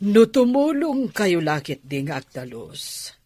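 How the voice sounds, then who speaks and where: Radio drama aunt, older, played by a man: highish husky voice, measured, coaxing and insistent with the young niece. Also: sing-song, warm tone, no room sound, exaggerated voice.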